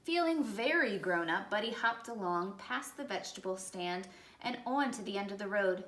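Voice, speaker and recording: A woman's voice: mid-range voice, lively intonation, moderate pace, fairly bright tone, a little hiss or hum. Woman reading a picture book, echoey room. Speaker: picture-book reader, educator. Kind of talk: expressive children's story read-aloud.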